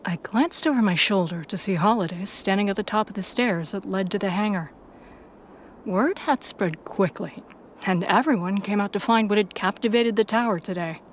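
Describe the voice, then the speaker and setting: Woman narrator reading a first person story, mid pitched, lil noise behind. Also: normal speed. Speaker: narrator and protagonist.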